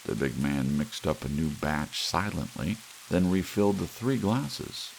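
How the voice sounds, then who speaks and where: A man's voice: extremely low pitch, a little slow, vocal fry, poor audio. A man reading a short story aloud as an ASMR audiobook narration, as an audiobook reader. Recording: steady hiss, rain sound underneath. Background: soft rain.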